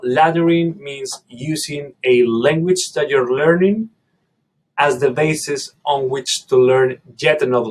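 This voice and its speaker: Spanish-native guy walking through his language routine, kinda husky, steady. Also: medium pitch, poor audio. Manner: methodical, explanatory. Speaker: language learning video host. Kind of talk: instructional monologue to camera.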